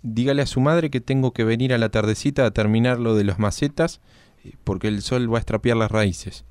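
A man's voice: mid-range voice, steady tone, fairly quick, a little mellow, smooth, dry recording, natural voice. A man playing a shy, polite young Argentine gardener in a radio drama, formal and withdrawn. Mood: polite, reserved.

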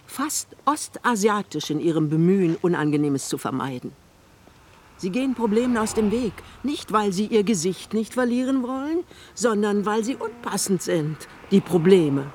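A woman's voice: mid-range voice, animated, normal speed, darkish tone, close to an everyday voice. Elderly woman played by an actress, capricious, grand, blunt, radio play delivery, noisy ambient backdrop. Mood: pronouncing. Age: older. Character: eccentric, imperious, capricious elderly Swiss mother. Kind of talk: German radio play dialogue.

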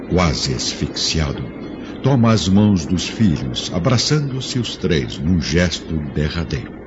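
A man narrating a radio drama in a measured, solemn storytelling manner. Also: low voice, noisy background. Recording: dull lo-fi audio under loud music.

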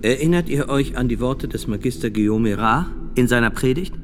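An actor playing a formal, probing interrogator at an inquiry in a radio drama. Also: male voice, mid-range voice, brisk, natural voice. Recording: long hall reverb, music behind.

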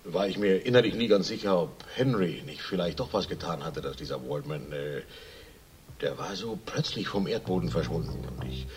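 An actor playing a bulky, evasive middle-aged racehorse owner and former miner in a radio crime drama, hesitant and self-justifying. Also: male voice, low voice, expressive. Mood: evasive, earnest. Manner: hesitant, halting, self-justifying, with stumbling fillers.

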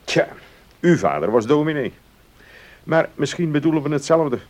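An actor playing an opinionated gentleman baron in a radio play, arguing earnestly in a declamatory way. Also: fairly high pitch, animated. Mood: earnest, lecturing. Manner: declamatory, deliberate argument. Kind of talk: Dutch radio play acting.

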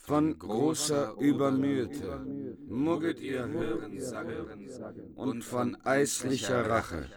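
An actor playing a solemn bard reciting archaic verse in a radio play. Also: male voice, medium pitch, slow pace, over a noisy crowd, natural voice. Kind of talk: Middle High German recitation in radio play.

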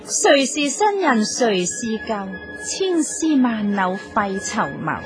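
An actress with a Hong Kong accent playing a jealous, sharp-tongued jilted woman in a radio drama, accusing and insistent. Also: a little high, very animated, slow, over music. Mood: reproachful. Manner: accusing, badgering, theatrical. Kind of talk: Cantonese radio drama acting.

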